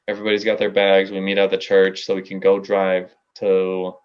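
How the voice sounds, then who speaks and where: A man's voice: low voice, monotone, a little fast, smooth, muffled recording. A nineteen-year-old man with an American accent, telling a story casually as a podcast guest and playfully arguing his point. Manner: playfully argumentative. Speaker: podcast guest, music artist.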